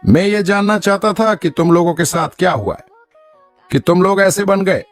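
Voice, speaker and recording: A man's voice: sits high, moderate pace, soft background music, lightly acted. A man voicing an earnest, reasoning train passenger in a cartoon story. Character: curious, reasoning male train passenger and husband.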